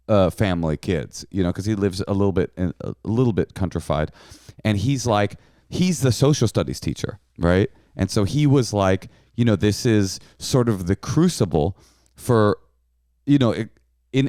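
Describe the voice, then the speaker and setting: A middle-aged man co-hosting a podcast, chatting in a rambling, self-mocking way with mock bravado, his voice a little hoarse. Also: lowish voice, darkish tone, dry recording, clean studio sound. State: slightly hoarse from touring. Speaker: podcast co-host. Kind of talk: casual comedic chat.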